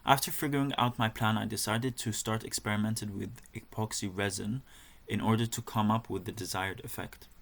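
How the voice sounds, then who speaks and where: A young man with a fairly low voice walks through his art projects like a student presentation. He speaks in a measured, careful way, sometimes pausing on a filler or restarting a phrase. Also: fairly even intonation, vocal fry, fairly bright tone. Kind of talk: reading a presentation.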